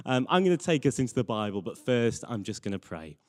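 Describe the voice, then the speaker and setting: A man's voice: medium pitch, big pitch swings, quick pace. Young British man preaching, long hall reverb. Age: young adult. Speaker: church intern preaching. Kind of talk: sermon with Bible reading.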